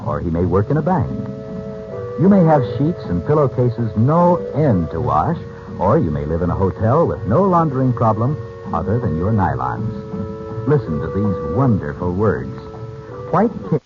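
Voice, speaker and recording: A man with an American accent delivering a polished, emphatic 1940s radio advertising pitch. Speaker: radio announcer. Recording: muffled old radio recording with music.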